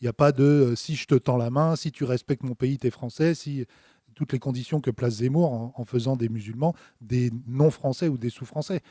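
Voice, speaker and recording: A man's voice: mid-range voice, moderate pace, dark tone, no echo, studio quality. A man in a political debate, reasoning aloud with many hesitant fillers.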